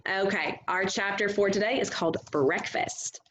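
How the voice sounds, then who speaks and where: A woman reading a storybook aloud as an expressive narrator, on a slightly dull, lo-fi recording. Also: medium pitch, normal speed, a little hiss or hum. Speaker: read-aloud reader.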